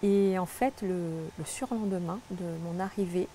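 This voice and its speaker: A woman's voice: on the low side, normal speed, warm tone, a little hiss or hum. A middle-aged woman from French Guiana, a guest telling her life story in an earnest, reflective way with frequent restarts. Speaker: interview guest, spiritual guide. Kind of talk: personal testimony in conversation.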